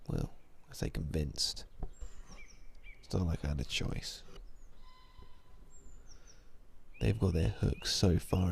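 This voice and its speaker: A man playing a weary, cynical vampire-hunting sniper in an ASMR audio drama, speaking in a brooding, low-key, deliberate way. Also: creaky, a little mellow, slight background noise. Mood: weary, bitter.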